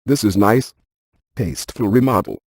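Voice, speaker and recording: An actor playing a brainy, dryly cautious ghost hunter scientist in a video game, speaking in a deadpan, precise, technical way. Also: male voice, mid-range voice, normal speed, gravelly, no room sound, studio quality. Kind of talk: scripted video game character dialogue.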